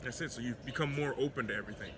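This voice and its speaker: A man from the United States talks fast in a relaxed, animated way, his voice big and loud. He's outdoors on a city street, with clear traffic noise running behind him. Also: mid-range voice. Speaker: guest, fellow video creator. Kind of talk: casual interview chat.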